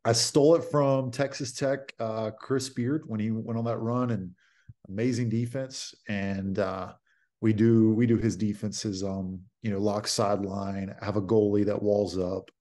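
A man in his thirties talks through a basketball drill over a video call from a home office. His voice is fairly low and steady. He explains plainly, with frequent fillers. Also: a little slow. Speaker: basketball coach guest. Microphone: video call webcam.

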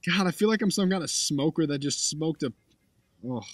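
A hoarse young man commenting on his own gameplay footage, blunt and sarcastic, swearing now and then. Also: on the high side, lively intonation, normal speed, no echo. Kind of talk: casual game commentary over footage.

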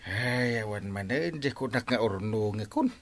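A man playing a reluctant, grumbling village captain in an Ilocano radio comedy drama, with an Ilocano accent and husky voice. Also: fairly low pitch, lively intonation, moderate pace, noisy background.

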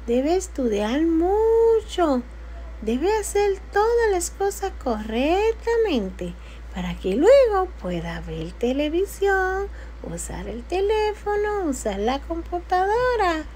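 Little cartoon kid voice, super high and swoopy, preachy sing-song to kids, some noise in the recording. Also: female voice, sing-song, slow, a little mellow, full character voice. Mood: gently scolding. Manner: sing-song, admonishing, addressing a little friend. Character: small childlike cartoon character scolding and advising children. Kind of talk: pretend phone call for children.

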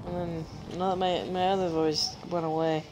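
A woman with a deep voice, chatting casually as she plays a game on stream, joking at herself and humming while she searches. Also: flat delivery, normal speed, a little mellow, slight background noise, rough recording. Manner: chatty, self-mocking, giggly, hums while searching. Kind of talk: casual gameplay commentary.

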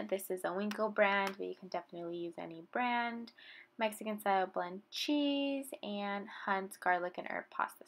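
Young adult woman, mid-pitched, instructional cooking demo host, explains each step.

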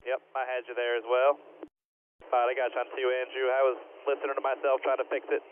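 A man on a race crew, giving brisk instructions to a driver over team radio. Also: medium pitch, level tone. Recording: phone-like race radio with noise.

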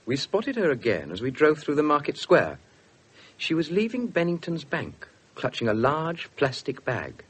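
Casting: keen, easily shocked young British policeman, rough-edged voice, formal storytelling, seventies radio drama. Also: a little high, wildly swooping pitch, normal speed, heavily acted. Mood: measured narrating. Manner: formal narrating, indignant in dialogue. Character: earnest, easily shocked young male police detective narrator. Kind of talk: 1970s British radio drama narration and acting.

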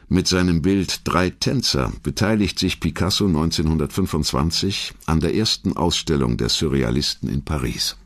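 A man reading scripted documentary narration in a measured, articulate storytelling manner. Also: rumbling low, breathy edge.

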